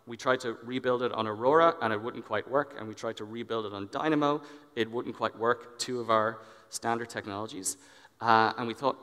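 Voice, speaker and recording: Bright-voiced man presenting in a reverberant hall. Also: mid-range voice. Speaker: engineering director, conference speaker. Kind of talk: conference presentation. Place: large indoor stage in a conference hall. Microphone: headset. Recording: long hall reverb from stage PA.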